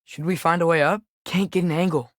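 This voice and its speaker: A man's voice: a little high, fairly even intonation, brisk, dry recording, studio quality, lightly acted. A young male actor with an American accent playing an earnest, curious teenage boy adventurer in a video game, with a light youthful voice and casual, observant delivery. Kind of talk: video game companion dialogue.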